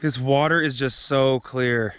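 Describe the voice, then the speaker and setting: A young adult man vlogging a hike outdoors on a GoPro, talking loudly and casually with frequent swearing. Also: mid-range voice, fairly even intonation, a little slow, smooth. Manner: loud, chatty, swears casually. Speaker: vlogger hiking a trail. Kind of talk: casual hiking vlog chat. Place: outdoors on a forest trail. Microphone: GoPro camera.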